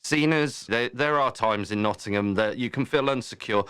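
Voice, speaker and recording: A man's voice: mid-range voice, normal speed, fairly bright tone, smooth, dry recording, clean studio sound. A man hosting a casual podcast interview, talking in a chatty, thoughtful, rambling way. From southern England. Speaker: podcast host.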